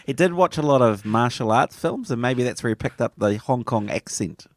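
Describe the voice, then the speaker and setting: A man's voice: medium pitch, animated, normal speed, no room sound. A man with a New Zealand accent chatting casually on a film podcast, questioning and arguing points. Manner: questioning, argumentative. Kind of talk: casual chat.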